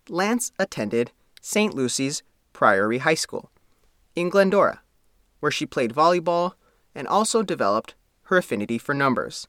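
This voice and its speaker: A man narrating a scripted profile story as its reporter, reading in a measured, formal way. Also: a little high, fairly bright tone, no room sound, clean studio sound.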